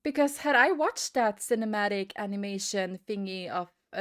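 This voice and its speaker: A woman giving a casual opinion rant to viewers, speaking conversationally in halting phrases with emphatic stresses. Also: on the high side, a bit bright.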